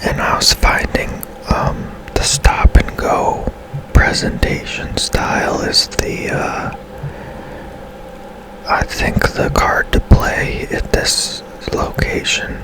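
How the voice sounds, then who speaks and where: A man speaking in a breathy, unvoiced whisper, giving casual, unhurried ASMR commentary as he plays a game. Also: low-quality recording. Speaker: gaming ASMR host.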